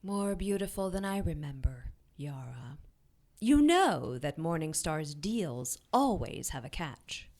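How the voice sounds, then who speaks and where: A woman's voice: low voice, big pitch swings, slow pace, darkish tone, heavily acted. A woman playing a haughty, menacing immortal demoness in an audio drama, with an imperious, taunting delivery. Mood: bitter.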